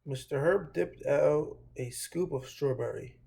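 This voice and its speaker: A man hosting a children's read-aloud, reading a storybook with a somewhat halting delivery. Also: fairly high pitch, vocal fry, warm tone.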